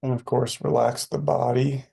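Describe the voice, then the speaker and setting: A man leading a guided meditation in a soothing, unhurried way, with spare phrases and long pauses between them. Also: medium pitch, level tone, gravelly, dark tone, husky.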